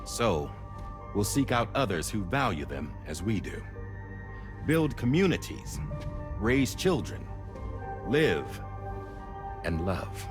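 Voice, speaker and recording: An actor playing a grave, resolute middle-aged director who delivers measured, theatrical oratory in a game cutscene. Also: male voice, fairly low pitch, sing-song, over music. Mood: resolute, rousing. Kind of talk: dramatic game cutscene monologue.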